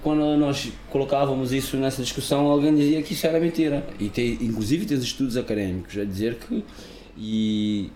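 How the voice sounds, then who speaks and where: A man's voice: mid-range voice, fairly quick, dark tone, slight background noise. Emphatic man, a guest from Cape Verde. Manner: emphatic, repeats words while searching for them. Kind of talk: interview conversation.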